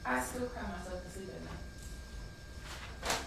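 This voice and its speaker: Actress doing heartfelt poetic woman in a play, medium pitch, far from mic, big echo. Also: slow pace, gravelly. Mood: reflective, heartfelt. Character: reflective, heartfelt woman who loves poetry. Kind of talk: stage play monologue and spoken word. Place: stage in a large roomy venue. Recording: echoey stage, distant mic, background noise.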